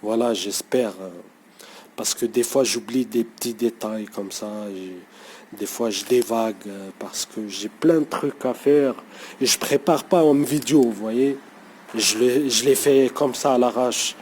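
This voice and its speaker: A man's voice: mid-range voice, measured pace, darkish tone, slight background noise. A man talking off the cuff to his viewers in a language that is not his first, hesitant and full of fillers, often checking in with "you see". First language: not French. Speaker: vlogger. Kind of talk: unscripted talk to camera.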